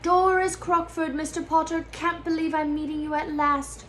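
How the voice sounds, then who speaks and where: A fluttery storybook admirer put on by a reader is a woman gushing in a very high, breathless voice. She sounds thoroughly starstruck, with noise behind her. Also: fairly even intonation, moderate pace, fairly bright tone. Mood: flustered, thrilled.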